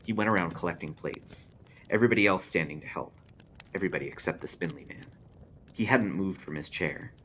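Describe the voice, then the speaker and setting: A man reading a creepy story aloud as an expressive scripted narration. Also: deep voice, slight background noise.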